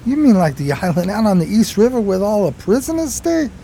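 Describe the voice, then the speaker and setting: A man's voice: high voice, sing-song, a little fast, vocal fry, warm tone, a little hiss or hum, exaggerated voice. A man playing a broke, eager 1920s New York street drifter in a radio play, talking in hesitant slang. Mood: puzzled. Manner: slangy, hesitant, colloquial storytelling. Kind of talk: radio play acting and first-person narration.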